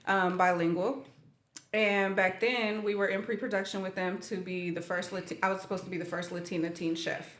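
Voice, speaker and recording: A woman's voice: mid-range voice, brisk, echoey room. A woman from Southern California, a private chef and podcast guest, talking casually and laughing often. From San Diego area, California. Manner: laughs often, relaxed and chatty.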